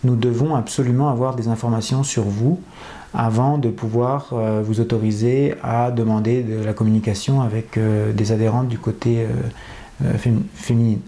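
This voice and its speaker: A man presenting a dating agency and explaining its process to viewers, pausing often with fillers. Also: mid-range voice, fairly even intonation, dark tone, echoey room. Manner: hesitant, many fillers.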